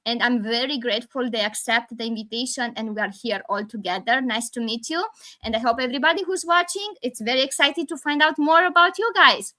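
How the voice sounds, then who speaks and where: A Romanian woman in her forties hosts a live video call, her speech animated but halting, full of restarts and little laughs. Her voice sits fairly high and rings bright and forceful through the call audio. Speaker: host.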